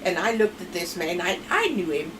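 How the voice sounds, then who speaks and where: A woman's voice: lowish voice, big pitch swings, normal speed. A woman in her seventies with a Pennsylvania Dutch accent, chatting and rambling through family stories as a grandmother being interviewed at home. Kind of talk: casual family storytelling. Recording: distant mic with clear background noise.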